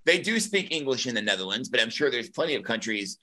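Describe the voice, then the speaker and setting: A man's voice: mid-range voice, sing-song, quick pace, bright, forceful tone. A man hosting an interview, asking questions enthusiastically and effusively. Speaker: host. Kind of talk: casual interview chat.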